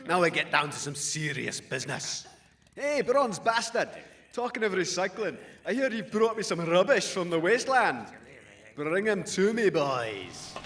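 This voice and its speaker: An actor playing a swaggering, menacing raider chief who fancies himself a comedian, in a comic audio drama. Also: male voice, high-pitched, big pitch swings, a little slow, fairly bright tone, exaggerated voice. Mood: mock-friendly menace. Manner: bullying, showboating, flips from jokey to threatening.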